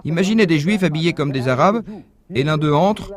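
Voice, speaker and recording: Male voice-over, lecture read, slightly high and very animated intonation, didactic. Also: a crowd in the background. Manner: measured, didactic, rhetorical questions. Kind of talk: dubbed lecture, explaining a point.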